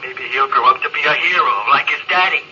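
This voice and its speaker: A man's voice: fairly high pitch, fast talker, bright, forceful tone. A man playing a frightened, bitter mutinous crewman in an old-time radio drama, theatrical and biting. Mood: bitter, scornful. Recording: muffled, noisy vintage radio recording.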